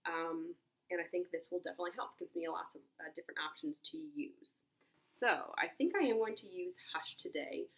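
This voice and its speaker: A young woman with a stuffy, congested voice that sits a little low, chatting through a casual makeup review. She thinks out loud, with plenty of ums and quick little exclamations as she tries each shade. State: congested from a cold. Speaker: beauty vlogger.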